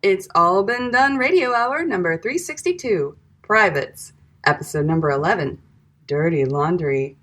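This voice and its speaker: Low, husky-voiced woman announcer. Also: animated, unhurried, a bit bright. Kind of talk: scripted show credits.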